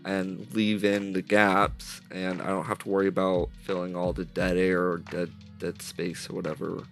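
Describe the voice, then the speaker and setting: Male streamer, low-set but bright, slightly stuffy voice; rambling, upbeat live chatter on a headset mic over soft jazz. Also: level tone, normal speed, vocal fry. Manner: rambling, self-correcting, upbeat. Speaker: streamer building a game asset live. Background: soft jazz music playing.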